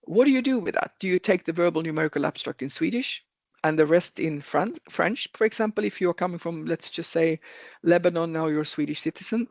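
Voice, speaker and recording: A man's voice: on the high side, expressive, a little fast, creaky, no echo. A man giving advice in a webinar over a video call, talking earnestly, repeating himself and correcting his own words as he goes. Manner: repetitive, earnest, self-correcting. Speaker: webinar speaker on careers. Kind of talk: explanatory webinar talk. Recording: video call with dry sound.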